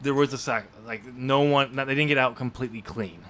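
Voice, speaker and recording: A man's voice: medium pitch, fairly quick, fairly bright tone. A man co-hosting a podcast, chatting casually about a story and often groping for words. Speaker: podcast co-host. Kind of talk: casual chat discussing a story.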